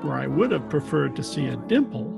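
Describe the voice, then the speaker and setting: A man's voice: mid-range voice, big pitch swings, brisk, gravelly, loud music behind the voice. A man playing a tough, sardonic private detective in old-time radio drama style, recorded at home over a video call. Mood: wry storytelling. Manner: hard-boiled, sardonic. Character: tough, wisecracking male private detective, impatient with sentiment.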